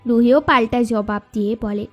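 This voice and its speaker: A woman's voice: a little high, moderate pace, lo-fi sound. A woman narrator reading a scripted romantic story. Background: background music.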